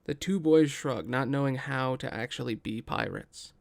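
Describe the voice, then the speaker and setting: A man's voice: mid-range voice, moderate pace, creaky. A man reading scripted stage directions as the narrator of a table read.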